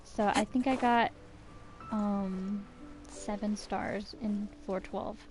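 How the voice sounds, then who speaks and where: A woman's voice: mid-range voice, fairly even intonation, slow, darkish tone, faint music behind. A woman streaming a game, giving casual, slangy commentary in short, informal reactions. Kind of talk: casual live commentary while gaming.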